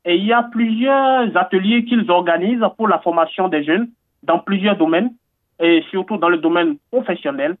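A man from Benin with a high voice speaks earnestly in a radio interview, pausing often on fillers and repeating himself as he builds enthusiasm. The sound is thin and phone-like, dry with no room echo. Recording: tinny phone-like line, dry.

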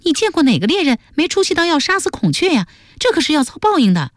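A young noblewoman from a historical audiobook, as a female narrator plays her. Her voice is raised and animated. She is serious, sharp-tongued and challenging, pressing her point insistently. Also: a little high, a little fast, no room sound, studio quality, pitched above the performer's own voice. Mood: scolding. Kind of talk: audiobook character voice.

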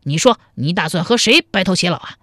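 A woman's voice: fairly low pitch, animated, fairly quick, fairly bright tone, no echo, clean studio sound. A woman voicing a sly, teasing young suitor in a storybook, wheedling and mock-meek. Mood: roguish teasing. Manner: teasing, wheedling, laid-back. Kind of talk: dramatized storybook dialogue.

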